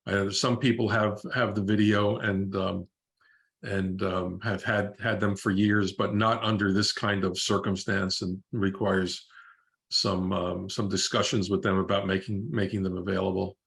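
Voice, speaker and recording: An older man speaking informally and haltingly on a group video call, giving remembrances and club announcements. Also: deep voice, flat delivery, vocal fry, fairly bright tone. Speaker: club member presenting a tribute.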